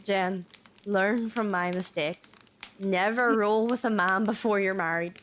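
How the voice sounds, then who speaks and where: A woman's voice: mid-range voice, fairly even intonation, unhurried, a bit bright, slight background noise, lightly acted. An actress playing a wry, plain-spoken young Victorian working-class woman in a historical audio drama.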